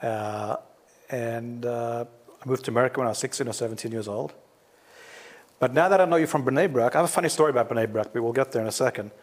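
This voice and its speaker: A man's voice: mid-range voice, fast. A man from South Africa, hosting an interview show, asking probing questions and talking persuasively. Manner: probing, persuasive, talks in long runs. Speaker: talk show host. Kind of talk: casual interview.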